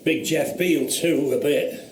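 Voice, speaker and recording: A simple rural workman, voiced by a man in an audio drama, halting and deferential as he reports to his master. The sound is roomy, with a faint background hiss. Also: on the high side, a little mellow, lightly acted. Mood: uneasy. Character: rustic male laborer, deferential to the squire. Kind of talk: radio drama acting.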